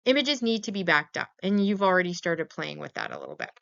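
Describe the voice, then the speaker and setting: A woman's voice: on the low side, animated, fast talker, creaky, fairly bright tone. A woman teaching as a lecturer in an informal, conversational way, often checking in with her students through short questions. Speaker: lecturer teaching veterinary radiography. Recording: dull, lo-fi voice-over recording.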